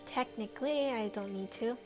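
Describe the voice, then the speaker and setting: A woman's voice: a little high, normal speed, darkish tone. A woman streamer chatting casually with viewers, rambling, trailing off and laughing often, her voice a little tired. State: voice getting tired. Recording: faint music behind.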